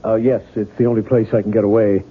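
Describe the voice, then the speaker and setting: A man's voice: on the low side, little pitch movement, fast talker, breathy edge. A man playing an earnest, modest writer with intense convictions in a radio drama. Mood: surprised, hospitable. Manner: earnest, slightly hesitant. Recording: muffled old radio broadcast, faint noise.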